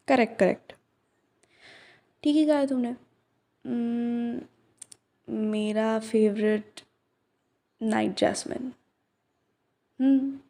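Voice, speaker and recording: A woman speaks slowly and softly to an unseen listener, teasing him gently as a young flower shop owner. Her voice sits a little high but sounds mellow and dark, with a gravelly fry under it. Now and then she sinks into breathy whispers. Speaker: voice actress in a listener roleplay. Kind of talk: ASMR roleplay, one side of a conversation.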